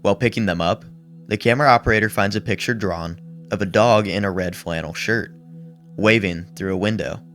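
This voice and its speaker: Guy w a deep voice doing a horror video essay, measured storytelling, bit casual, music faintly behind him. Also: fairly bright tone. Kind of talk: scripted horror commentary with casual asides. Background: background music under the voice-over.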